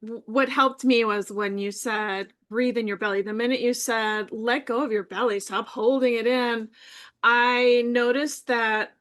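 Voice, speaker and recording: Easygoing woman guest on a video call, kinda high voice, laughs a lot. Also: fairly even intonation, a little slow, a bit bright. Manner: chatty, easygoing, laughs readily. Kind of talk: casual live video chat.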